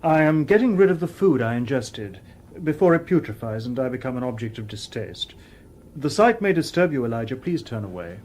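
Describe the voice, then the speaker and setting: An actor playing a humanlike robot detective in a radio drama, calm, literal and dutiful, with formal, precise, measured wording. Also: male voice, mid-range voice, lightly acted. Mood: polite, composed. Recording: noisy old radio drama soundtrack.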